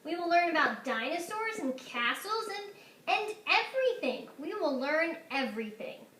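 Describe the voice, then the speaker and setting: A woman voicing a proud, overeager male school principal in a storybook, with emphatic, drawn-out pronouncements. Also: very high-pitched, sing-song, fairly bright tone, roomy sound, a little hiss or hum, voice pushed up, full character voice. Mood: enthusiastic.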